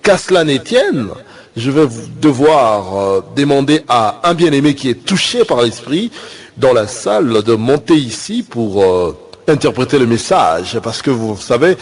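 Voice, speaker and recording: A man's voice: medium pitch, very animated, measured pace. A man playing a pompous, easily exasperated visiting pastor in a comic sermon sketch, with an affected, hesitant delivery. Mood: self-important, hesitant. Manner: affected, hesitant, then indignant. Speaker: visiting preacher in a comedy sketch.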